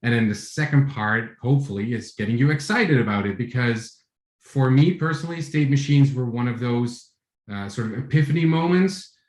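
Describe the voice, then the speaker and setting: A man in his forties from the Netherlands with a Dutch accent, giving a relaxed tech talk over a video call, with many fillers and restarts. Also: medium pitch, moderate pace, reverberant. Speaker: conference speaker. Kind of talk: online tech talk with screen share.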